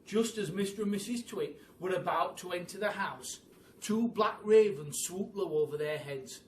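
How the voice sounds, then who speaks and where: A man reading a storybook to children in an animated storytelling style, recorded in a roomy space. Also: sits high, moderate pace. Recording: roomy, distant mic, faint hiss.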